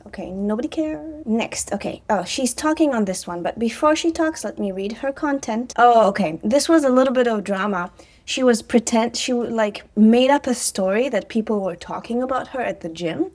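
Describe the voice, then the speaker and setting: A woman's voice: fairly high pitch, a little mellow. A woman, chatting casually on her vlog with sarcastic asides and frequent fillers. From Israel. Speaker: vlogger, personal trainer.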